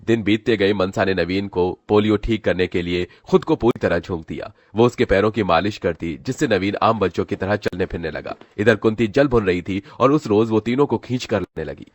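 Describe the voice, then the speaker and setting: A man narrates a dramatic scripted story in a fairly low voice. He works as the storyteller, and the recording is very dry, with no room sound. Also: fairly quick, few pauses, no room sound.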